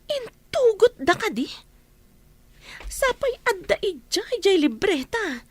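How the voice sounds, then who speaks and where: A very high-pitched, scolding mistress of the house played by a woman in a radio comedy drama. She is greedy and scheming, ordering people about with an Ilocano Filipino accent. There is faint noise behind her voice. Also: sing-song, slow, full character voice. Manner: imperious, scolding.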